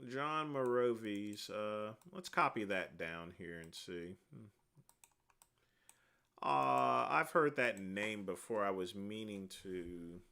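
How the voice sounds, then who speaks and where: A man hosting a casual livestream, answering viewer questions one after another in a rambling way, with a deep voice. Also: slow pace, creaky, bright, forceful tone. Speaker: livestream host answering chat.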